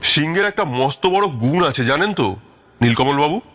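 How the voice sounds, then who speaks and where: A man as a calm, confident detective. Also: fairly high pitch, moderate pace. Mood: instructive. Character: calm, confident, commanding male Bengali detective. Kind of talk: audio story character acting.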